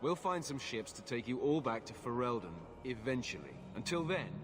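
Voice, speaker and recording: An actor playing a weary, blunt guard captain in a video game cutscene, speaking curtly and firmly. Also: male voice, medium pitch, sing-song, normal speed, over music, close to an everyday voice. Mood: stern. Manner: curt, firm.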